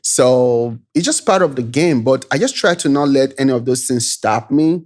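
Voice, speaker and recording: A man from Nigeria with a Nigerian accent, a tech founder telling his story as an interview guest in a relaxed, easygoing way that is full of "you know" tags. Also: mid-range voice, normal speed. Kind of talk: casual interview chat.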